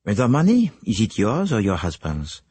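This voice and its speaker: Narrator playing a polite sleuth, formal and probing, husky, lively delivery. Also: male voice, lowish voice, moderate pace, no room sound, voice pushed up, heavily acted. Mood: businesslike. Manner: formal, businesslike, probing questions. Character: courteous, precise foreign male detective, middle-aged.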